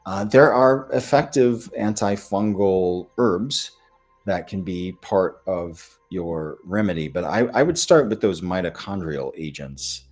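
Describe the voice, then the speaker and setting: An American man from the Midwest giving informal question-and-answer health advice as a doctor, in a halting way with pauses between phrases. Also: low voice, expressive, a little mellow, soft background music.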